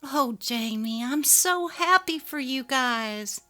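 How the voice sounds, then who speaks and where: A woman's voice: high-pitched, lively intonation, takes it slowly, sharp tone, voice pushed up, full character voice. A woman voicing an affectionate, familiar adult sister in a dramatically read story. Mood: upbeat. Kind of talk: dramatic reading of a text-message story. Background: music.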